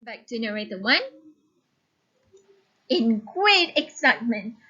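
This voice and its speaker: Female teacher-storyteller: high-pitched, animated, deliberate pace, instructive phrasing, slightly muffled audio. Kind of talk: expressive story reading and lesson instruction.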